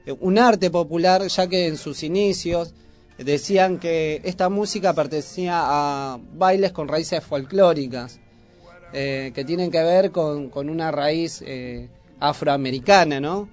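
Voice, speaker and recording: A man with a fairly high voice and a Buenos Aires Argentine accent talks casually as a radio presenter. He explains in a hesitant, informal way, with music playing behind him. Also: a little slow, a little mellow.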